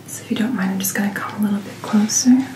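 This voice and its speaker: A woman speaking in a soft, breathy whisper, gently and reassuringly playing a doctor in an ASMR roleplay, close to the microphone. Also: medium pitch, fairly even intonation, quick pace. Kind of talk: soft-spoken ASMR medical checkup roleplay.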